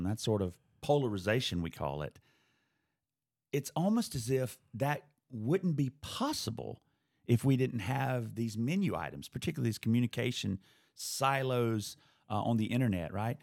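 A man's voice: mid-range voice, gravelly, darkish tone, breathy edge. A man hosting a casual podcast conversation, thoughtful and steering the talk with questions. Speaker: podcast host.

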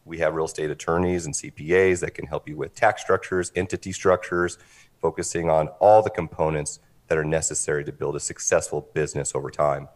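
A man giving an articulate, businesslike pitch as an interview guest, explaining things in a measured, polished way. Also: steady tone. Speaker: interview guest, company representative.

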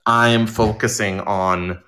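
A man talking in an informal interview as an audio drama creator, telling stories in an animated way and voicing imagined quotes. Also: fairly low pitch, slow. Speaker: audio drama writer and director being interviewed.

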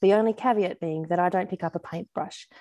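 A woman's voice: on the low side, fairly quick, mellow, no room sound, natural voice. A woman reading the part of a friendly, self-deprecating heroine in a romance novel, modest and chatty.

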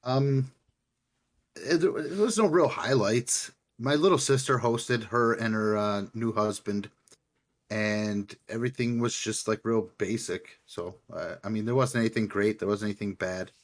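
A man's voice: fairly low pitch, unhurried. A man co-hosting a casual sports podcast, blunt and sarcastic, swearing freely. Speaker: podcast co-host. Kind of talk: casual chat.

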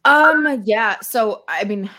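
A woman speaking as a podcast guest in casual conversation, with an informal, candid manner that often softens her points. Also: fairly high pitch, animated, slow pace.

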